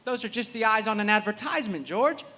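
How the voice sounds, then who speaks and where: An actor playing a timid, concerned neighbour in a live radio play, hesitant and placating, in a reverberant hall. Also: male voice, high-pitched, expressive, quick pace, bright, forceful tone, slight background noise. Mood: nervous, soothing. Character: timid, concerned neighbour soothing a distraught man.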